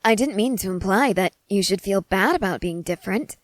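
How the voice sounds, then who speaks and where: A woman playing an excitable, curious scientist in a scripted ASMR roleplay, speaking in eager, wordy, formal and apologetic phrases. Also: medium pitch, a little fast, dry recording, studio quality, natural voice. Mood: explaining gently.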